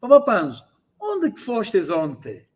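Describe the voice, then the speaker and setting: Male online language tutor, high register, translates and repeats phrases for students. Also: huge pitch swings, breathy edge. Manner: repeats phrases slowly for learners. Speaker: language teacher.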